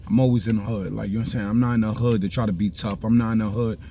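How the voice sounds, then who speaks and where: A middle-aged man with a Bronx accent talks in a loose, rambling street style and keeps checking that you follow him. His voice is mellow and dark. There is a faint hiss underneath. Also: fairly low pitch, fairly even intonation, rapid-fire. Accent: New York (Bronx). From South Bronx, New York. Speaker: vlogger giving life advice. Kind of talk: casual street talk.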